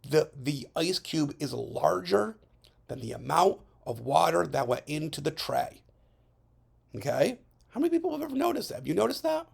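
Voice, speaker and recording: A male science teacher narrating a slide lesson in a chatty way, checking in with students and saying his voice is still recovering from hoarseness. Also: a little high. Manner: chatty, checks in with frequent "okay?". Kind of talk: recorded classroom lesson over slides.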